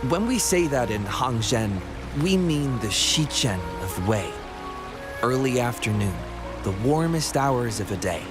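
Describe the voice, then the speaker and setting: A man's voice: medium pitch, expressive, unhurried, lightly acted. A man playing a helpful local townsman in a fantasy video game, explaining like a storyteller, with music behind him. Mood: helpful, explanatory.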